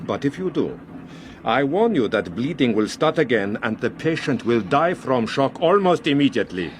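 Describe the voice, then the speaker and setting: An actor playing a sinister, smooth doctor in a radio drama, speaking with measured, courteous menace. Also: male voice, mid-range voice. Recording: clear background train noise.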